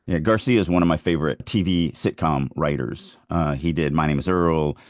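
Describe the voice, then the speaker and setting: Male podcast co-host, deep voice, very dry sound, conversational talk about writing craft. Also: normal speed, dry recording. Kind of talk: casual discussion of writing craft.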